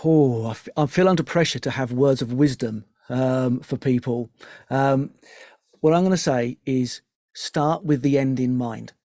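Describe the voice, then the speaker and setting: A man's voice: medium pitch, unhurried, dark tone, no echo, studio quality. A man speaking as an interview guest, giving conversational advice in an emphatic way with rhetorical questions. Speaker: podcast guest, audio and music professional.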